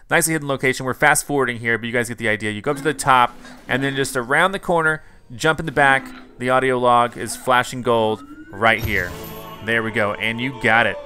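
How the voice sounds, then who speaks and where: A man's voice: fairly high pitch, pushed and bright. A man hosting a gaming channel, narrating a video game guide in an upbeat, conversational way straight to viewers.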